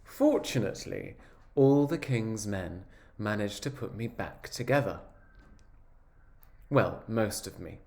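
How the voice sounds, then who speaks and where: A man voicing an earnest, wistful egg who tells his own story in a measured, reflective picture-book narration. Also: on the low side, animated, creaky, darkish tone, full character voice.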